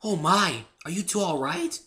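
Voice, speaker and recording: A man voicing a shy, gentle young female winged pony, timid and hesitant, in a story reading. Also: high voice, wildly swooping pitch, normal speed, pitched above the performer's own voice, exaggerated voice. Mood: concerned. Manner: timid, hesitant, soft-spoken.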